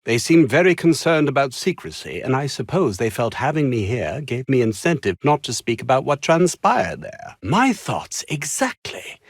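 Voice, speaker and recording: An actor playing a haughty, anxious, downtrodden scientist in a video game, husky and speaking in formal, wordy, theatrical phrases. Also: male voice, on the high side, no echo, studio quality, exaggerated voice. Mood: uneasy. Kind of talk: video game character dialogue.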